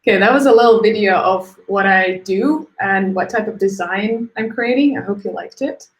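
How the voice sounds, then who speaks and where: A woman's voice: medium pitch, normal speed. A woman gives an informal, light-hearted talk as a guest designer on an online call. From Latvia. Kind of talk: informal career talk presentation. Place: indoors, on a live video call. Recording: roomy, echoey online call.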